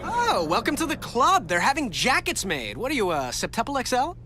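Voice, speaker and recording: A man's voice: extremely high pitch, huge pitch swings, fairly quick, full character voice. An actor playing a sarcastic young male superhero in a video game, quipping through banter while the fight goes on. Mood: wisecracking. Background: loud game music.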